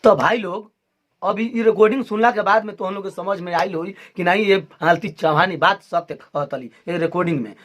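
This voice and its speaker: A man's voice: high voice, a little fast, a bit bright. A man with a Bhojpuri accent talking to his viewers as the host of a video channel. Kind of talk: vlog commentary addressed to viewers.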